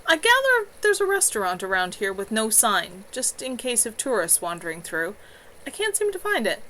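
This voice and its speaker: A confident young woman new in town, courteous and easygoing, as the author reads her. Her voice is bright and moves freely in pitch. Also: huge pitch swings, normal speed, a little hiss or hum, exaggerated voice, rough recording. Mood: polite.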